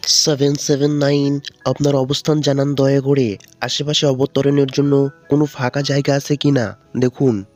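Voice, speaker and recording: A man's voice: mid-range voice, level tone, moderate pace, a little mellow, soft background music, lightly acted. A man with a Bangladeshi accent voicing a composed, formal, reassuring air traffic controller in a cartoon. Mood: formal, controlled. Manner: formal, polite instructions.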